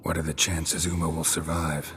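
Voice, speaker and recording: An actor playing a world-weary, stoic monster hunter in a fantasy game, gravelly and terse. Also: male voice, very deep voice, flat delivery, normal speed, a bit bright, big-room sound, heavily acted. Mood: dry, curious. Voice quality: gravelly, husky. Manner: terse, understated.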